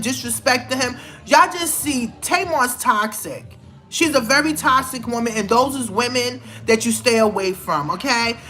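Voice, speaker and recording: A woman's voice: high voice, pushed and bright. A woman giving blunt, scolding celebrity gossip commentary in a vlog, with emphatic outbursts. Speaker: vlogger.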